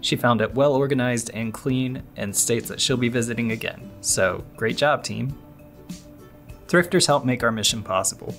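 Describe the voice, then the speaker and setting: A man's voice: mid-range voice, moderate pace, fairly bright tone, soft background music. A man hosting a podcast, delivering scripted narration. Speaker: podcast host.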